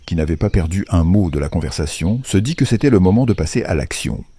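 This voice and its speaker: A man narrating a children's fairy tale as a playful, theatrical storyteller. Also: deep voice. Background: music under the voice.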